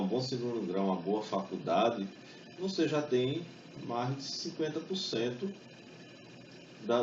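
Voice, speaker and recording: A young man giving a talk to an audience, speaking in a chatty way with many fillers and quick tag questions. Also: medium pitch, darkish tone, a little hiss or hum. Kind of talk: public talk with exam study tips. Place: event hall. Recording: distant echoey hall mic, dull sound.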